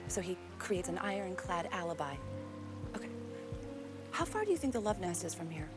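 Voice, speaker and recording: A woman playing a sharp-minded, matter-of-fact private detective in a 1920s period TV drama. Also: fairly low pitch, very animated, moderate pace. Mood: brisk, deducing. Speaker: lead actress in a TV detective series. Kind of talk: naturalistic TV period drama acting. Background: background music.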